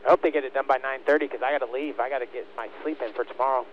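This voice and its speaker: A man's voice: medium pitch, fairly even intonation, rapid-fire, lo-fi sound, noisy background. A man working as a race spotter, speaking in short, repeated commands over a team radio. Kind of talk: urgent radio spotting calls to a driver.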